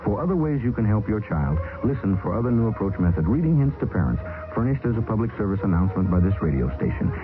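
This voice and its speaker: A man reading a public service announcement on the radio, brisk, friendly and instructive. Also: fairly low pitch, sing-song. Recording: tinny 1970s radio broadcast with music.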